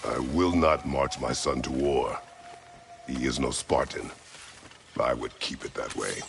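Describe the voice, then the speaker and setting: An actor playing a stern, weary warrior father in a video game, speaking tersely and gravely in formal phrases with a gravelly voice. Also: unhurried, a little hiss or hum, heavily acted. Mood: firm defiance. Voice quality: very deep, gravelly. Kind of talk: dramatic video game acting.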